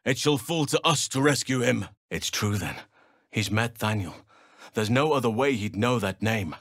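An actor playing a gentle, solemn druid leader in a video game, speaking in a measured, formal, earnest manner. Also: male voice, on the low side, expressive, no room sound, studio quality. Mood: grave, concerned. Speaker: video game companion character. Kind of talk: video game voice acting, formal fantasy dialogue.

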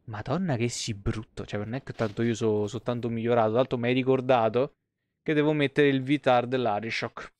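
A man's voice: medium pitch, fairly even intonation, brisk, a little mellow. A man in his thirties with a Roman Italian accent, giving casual, slangy, sweary live commentary on a video game. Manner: slangy, swearing, muttering asides. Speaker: game streamer. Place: small room, indoors.

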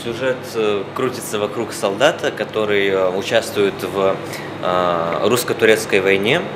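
Young man, low pitch, hesitant broken delivery, interview, clear background noise. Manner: halting, many hesitations. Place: indoor cinema foyer. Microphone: handheld reporter's microphone.

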